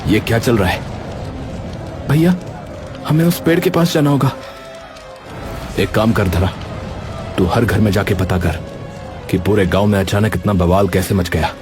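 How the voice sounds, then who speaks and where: An actor playing an earnest young hero in a dramatized story, speaking with urgency and drama. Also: male voice, on the low side, unhurried, long pauses, music in the background, lightly acted. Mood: tense, resolute. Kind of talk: acted Hindi audio story dialogue.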